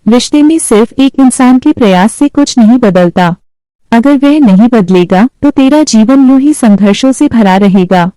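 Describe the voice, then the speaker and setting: A woman's voice: on the high side, lively intonation, moderate pace, dark tone, no room sound, lightly acted, poor audio. A woman voicing a blunt, impatient sister-in-law who scolds in a cartoon. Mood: stern.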